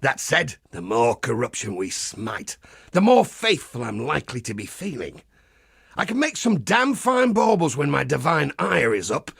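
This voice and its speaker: A man playing a zealous, battle-hardened crusader in a video game, with a gravelly rasp and a blunt, wry, preacherly way of talking. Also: medium pitch, big pitch swings, normal speed, fairly bright tone, dry recording, clean studio sound, exaggerated voice. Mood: steady, comradely. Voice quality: gravelly, rasping. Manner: preacherly, blunt, wry, archaic turns of phrase. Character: zealous battle-hardened crusading holy warrior, grimly cheerful, foul-mouthed. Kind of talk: theatrical video game character dialogue.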